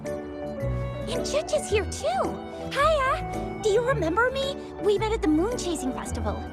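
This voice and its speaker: Very high, bubbly young patrol officer. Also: female voice, sing-song, a little slow, over loud music, full character voice. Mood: cheerful greeting. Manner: eager, effusive. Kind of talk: video game character acting.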